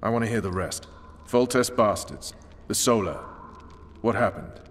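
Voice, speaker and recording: An actor playing a stern, world-weary special forces commander in a video game, clipped, commanding and menacing. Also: male voice, fairly low pitch, expressive, normal speed, long reverb tail. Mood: probing. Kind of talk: dramatic video game acting.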